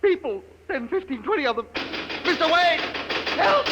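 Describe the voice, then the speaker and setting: A man's voice: very high voice, very animated, a little slow, background noise, full character voice. An actor playing a terrified, trapped middle-aged man in a 1950s radio drama, stammering and gasping as he pleads. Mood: panicked. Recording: vintage 1950 radio, dramatic music behind.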